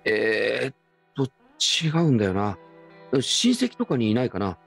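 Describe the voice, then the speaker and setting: A man's voice: lowish voice, expressive, normal speed, creaky, husky, soft background music, voice pushed up, exaggerated voice. A man playing a puzzled grown son, an ordinary working man, in a radio drama. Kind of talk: radio drama acting in Japanese.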